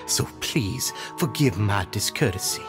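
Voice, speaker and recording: An actor playing a smooth-talking, scheming man in a video game, theatrically polite and condescending. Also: lowish voice, lively intonation, measured pace, music in the background. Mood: oily politeness. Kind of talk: dramatic video game voice acting.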